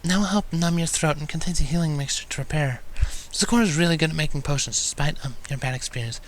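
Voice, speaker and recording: A man voicing a timid, gentle young female pony, speaking softly and hesitantly. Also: fairly high pitch, quick pace, a little hiss or hum, voice pushed up, lightly acted, poor audio. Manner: soft, hesitant, whispering.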